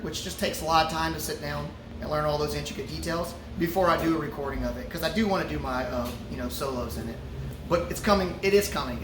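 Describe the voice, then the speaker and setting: A relaxed male musician chats through fan questions, joking and stumbling over fillers. He stands a little back from the mic, and his voice rings around a roomy brewery with a steady hum of noise behind him. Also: fairly high pitch. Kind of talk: casual Q&A chat. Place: brewery before a show. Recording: roomy echo, from a distance, ambient noise.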